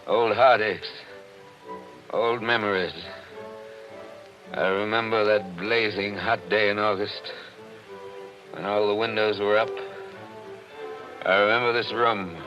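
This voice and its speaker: An actor playing a brooding attorney who narrates wistfully in a 1940s radio mystery. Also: male voice. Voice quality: low, reflective, wistful. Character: brooding middle-aged male attorney narrator. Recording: dull old radio recording with music.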